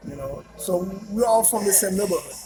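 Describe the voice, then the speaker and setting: A man's voice: high-pitched, expressive, fairly quick, over music, low-quality recording. A man, answering interview questions in a loose, rambling way full of fillers and repeats. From Haiti. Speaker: interview guest. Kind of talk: casual conversational interview.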